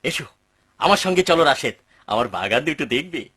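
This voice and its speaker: A man voicing an arrogant, boastful rich landowner in an animated moral story, with a theatrical delivery. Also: fairly high pitch, a little fast, husky, exaggerated voice. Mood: boastful, mocking. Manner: theatrical, swinging from mocking to wailing. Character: arrogant, boastful rich man who owns two orchards.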